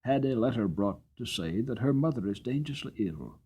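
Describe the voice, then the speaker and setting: A man puts on a cabman's wife from a storybook. She is caring and earnest, coaxing gently as she makes her case. The pitch moves in lively turns. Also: fairly quick, breathy edge. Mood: concerned. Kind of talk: dramatic storybook character reading.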